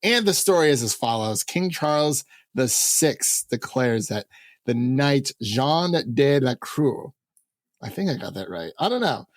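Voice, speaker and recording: A man's voice: medium pitch, sing-song, unhurried, no room sound. A man hosting a film podcast, chatting casually and stumbling over names with a self-deprecating laugh. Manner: laid-back, fumbles names, self-deprecating. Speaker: podcast host.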